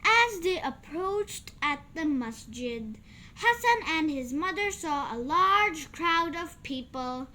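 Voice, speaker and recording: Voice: high-pitched, lively intonation, slow, bright, forceful tone, poor audio. A child reading a children's story aloud, carefully and expressively. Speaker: storybook reader.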